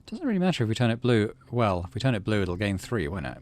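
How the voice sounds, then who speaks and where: A man's voice: fairly low pitch, very animated, fast talker, a little mellow, slight background noise. A man hosting a video game playthrough, reading the story text aloud and adding casual commentary. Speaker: gaming channel host.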